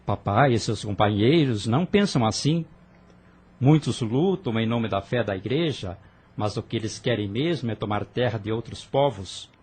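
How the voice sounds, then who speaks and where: A man's voice: fairly low pitch, moderate pace, darkish tone, husky. A man playing an earnest, idealistic young rebel who argues passionately with his sister in an audio drama. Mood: persuasive. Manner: fervent, persuasive, earnest.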